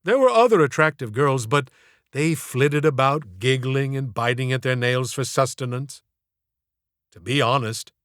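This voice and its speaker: A man reads a comic audiobook in a fairly high-set voice. His delivery is theatrical and wry, with mock-formal phrasing. The sound is very dry, free of room reflections. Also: a bit bright, no room sound. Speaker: audiobook narrator and author. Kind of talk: comic scripted storytelling narration.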